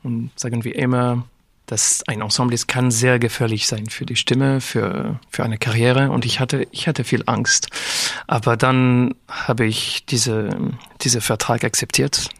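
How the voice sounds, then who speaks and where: French man in halting German. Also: mid-range voice, level tone, vocal fry, fairly bright tone, no room sound. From France, raised in Geneva. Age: young adult. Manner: searching for German words, halting. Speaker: opera tenor, guest. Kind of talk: casual interview chat.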